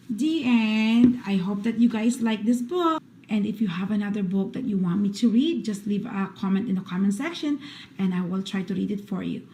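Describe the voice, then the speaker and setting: A woman hosting a read-aloud for children, reading picture books in an expressive, deliberate bedtime storytelling style. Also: medium pitch. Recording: roomy echo, faint background noise.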